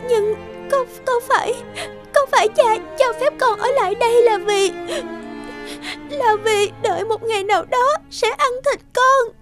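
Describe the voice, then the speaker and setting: A woman voicing a gentle, loyal young princess in a cartoon fairy tale, polite and earnest. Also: extremely high pitch, unhurried, music in the background. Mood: tearful.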